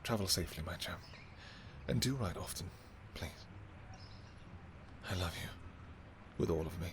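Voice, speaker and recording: A low-pitched vampire king acted out by a man speaks in formal, affectionate phrases. He is devoted and possessive, a lover in a fantasy audio romance. Noticeable background noise sits behind the voice. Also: a little slow. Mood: sorrowful, loving.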